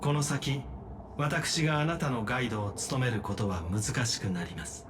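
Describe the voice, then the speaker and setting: An actor playing a courteous, logical machine genius with formal, precise speech in an anime-style game. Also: male voice, mid-range voice, expressive, quick pace, background noise, close to an everyday voice. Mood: calm, reassuring. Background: game music.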